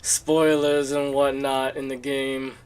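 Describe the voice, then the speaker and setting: A man's voice: medium pitch, flat delivery, takes it slowly, clean tone. A man chatting casually to himself in a laid-back way while streaming a video game. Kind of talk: casual livestream chat while playing.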